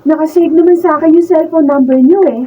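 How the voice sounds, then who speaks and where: Squeaky-high actress as a naive girlish teen, gushing, radio drama, distant muffled mic. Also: brisk, lightly acted. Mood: delighted, gushing. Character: giddy, naive teenage girl delighted by gifts. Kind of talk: Filipino radio drama acting. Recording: distant muffled mics, noisy raw studio video.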